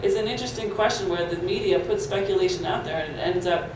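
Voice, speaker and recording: A woman's voice: mid-range voice, quick pace. A woman on a conference panel speaking casually and conversationally, repeating herself at times. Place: large echoey conference hall. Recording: echoey hall audio from far off with noise.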